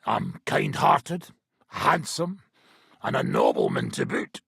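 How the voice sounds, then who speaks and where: An actor playing a gruff, brash, middle-aged medieval mercenary nobleman in a video game, with a raspy, gravelly voice. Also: low-pitched, wildly swooping pitch, slow pace, fairly bright tone, dry recording, clean studio sound, full character voice. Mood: sardonic, gruff. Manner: brash, sardonic, swearing.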